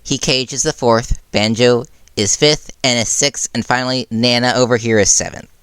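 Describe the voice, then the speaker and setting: A man voicing a mischievous, chaotic time traveler of about thirty, informal, blunt and excitable. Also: mid-range voice, moderate pace, smooth, dry recording, pitched above the performer's own voice, lightly acted. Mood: gleeful.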